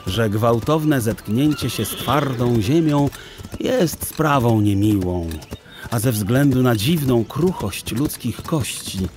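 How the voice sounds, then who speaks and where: Slow-paced man telling a children's story. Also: medium pitch, expressive, darkish tone. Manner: theatrical, deliberate phrasing. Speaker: audiobook narrator. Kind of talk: expressive literary storytelling for children.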